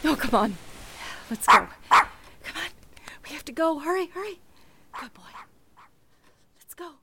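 An actress playing a tender, wonderstruck woman in a radio play, halting and emotional, slipping into whispers. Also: high voice, sing-song, pushed and bright, a little hiss or hum, full character voice. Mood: urgent. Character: tender, wonderstruck woman alone with her dog on Christmas Eve.

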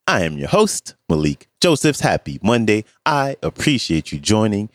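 A man hosting a podcast, introducing a clip in a measured way, his voice a bit stuffy from allergies. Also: fairly low pitch, sing-song, no room sound, clean studio sound. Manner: slow, measured delivery. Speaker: podcast host. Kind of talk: scripted show introduction.

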